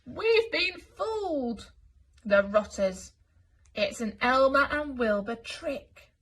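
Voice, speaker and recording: A woman's voice: high-pitched, animated, slow pace, a bit bright, voice pushed up, heavily acted, poor audio. A woman voicing fretful, easily fooled elephants in a children's picture book, speaking in exclamations. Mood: indignant.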